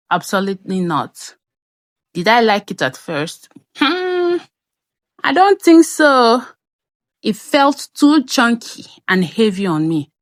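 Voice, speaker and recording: Unhurried Nigerian woman telling a story. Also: mid-range voice, no echo. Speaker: craft storyteller and vlogger. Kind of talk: personal storytelling voice-over.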